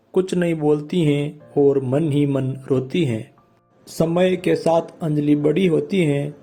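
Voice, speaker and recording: Slow, mellow male storyteller over music. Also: fairly high pitch, vocal fry. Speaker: story narrator. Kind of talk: scripted moral story narration in simple language. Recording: music bed under the voice.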